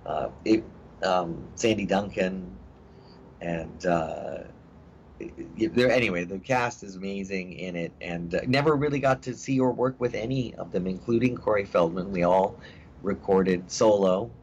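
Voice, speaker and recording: A middle-aged actor talking in a casual interview, rambling with many fillers and restarts. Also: male voice, low-pitched, measured pace, a little mellow. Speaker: actor guest. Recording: dull lo-fi audio with faint hiss.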